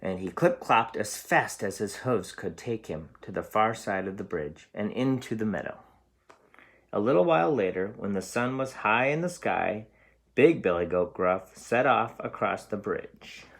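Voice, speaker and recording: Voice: medium pitch, lively intonation, measured pace, gravelly. A storyteller reading a picture book aloud expressively for young children.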